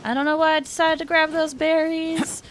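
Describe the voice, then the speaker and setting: A woman's voice: high-pitched, flat delivery, moderate pace, slight background noise. A young adult woman giving casual, playful live commentary on a game, with sing-song exclamations. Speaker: game streamer.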